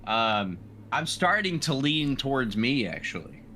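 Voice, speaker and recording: A man chatting casually as a player on a game podcast, enthusiastic but halting. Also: mid-range voice, sing-song, pushed and bright, slight background noise. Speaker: player in a tabletop RPG podcast. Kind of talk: casual chat.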